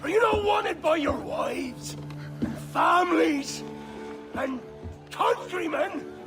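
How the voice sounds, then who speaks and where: An actor playing a menacing, theatrical pirate captain in a video game, with a gravelly voice and grandiloquent, dramatic delivery. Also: male voice, very high voice, slow pace, over music, exaggerated voice. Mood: furious. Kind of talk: video game cutscene acting.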